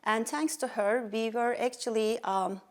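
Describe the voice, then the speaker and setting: A woman's voice: fairly high pitch, steady tone, a little slow. A middle-aged woman from Turkey with a Turkish accent, earnestly telling her life story as an interview guest. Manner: earnest, with fillers and restarts. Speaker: pediatric endocrinologist guest.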